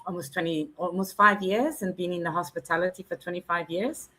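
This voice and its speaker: A woman's voice: lowish voice, steady tone, fairly quick, pushed and bright. An older woman from Zambia introducing herself hesitantly, correcting herself as she goes. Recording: distant from the mic.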